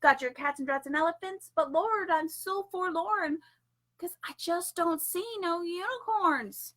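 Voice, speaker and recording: A woman's voice: very high-pitched, unhurried, fairly bright tone, pitched above the performer's own voice. A woman voicing old Noah as a fretful, pleading man in a folksy poem reading.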